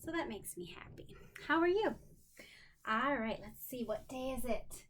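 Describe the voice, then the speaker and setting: A woman with a fairly high voice teaches young children in an exclamatory, sing-song manner. Her pitch rises and falls widely and she speaks very slowly, as a teacher leading a lesson would. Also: expressive, a bit bright, slight background noise. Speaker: special education teacher. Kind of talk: children's lesson and read-aloud.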